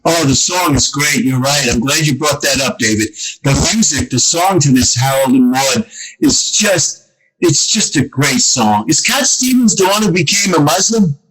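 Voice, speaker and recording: A man appears as a comedian guest on a casual podcast, rambling and stumbling over his words as he raves about favorites. His voice is slightly raised in pitch. It has a husky, breathy edge. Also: expressive, fairly bright tone, low-quality recording. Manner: rambling, halting with fillers, enthusiastic.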